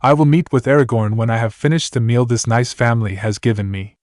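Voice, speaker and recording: A man's voice: medium pitch, fairly even intonation, a little mellow, no echo, studio quality, close to an everyday voice. A man as a reserved, formal wanderer. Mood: restrained. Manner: measured, formal, restrained. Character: reserved young male wanderer, quiet and polite.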